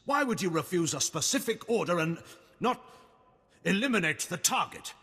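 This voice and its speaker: A man's voice: sits high, sing-song, normal speed, sharp tone, breathy edge, in a large hall, exaggerated voice. An actor playing an imperious admiral and anguished father in a video game, formal and theatrical in manner. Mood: incredulous outrage.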